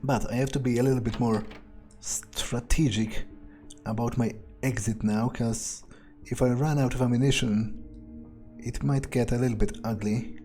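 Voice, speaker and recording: A man narrating a video game in character, thinking aloud in an understated, musing way with frequent pauses. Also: mid-range voice, steady tone, mellow, soft background music. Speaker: gamer playing in character.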